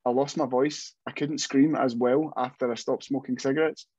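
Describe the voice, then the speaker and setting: A man's voice: mid-range voice, fairly even intonation, moderate pace, darkish tone, slightly rough, no echo. A young man, a metal vocalist, chatting casually in an interview over a video call, rambling with many restarts and self-deprecating asides. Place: home room, indoors. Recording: dull, dry video call audio.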